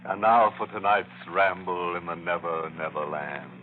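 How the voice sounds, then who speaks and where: A man's voice: low voice, moderate pace, slight background noise, close to an everyday voice. A man playing a gleefully macabre horror storyteller, narrating an old radio show in a sly, theatrical way. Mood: sly, scene-setting. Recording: tinny vintage radio, music behind.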